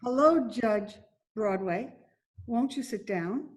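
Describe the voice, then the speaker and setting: A timid, gentle older lady down on her luck, acted by a woman in a radio play. She speaks in a high voice, slowly and hesitantly, with anxious politeness. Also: animated. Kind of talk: 1940s radio drama acting.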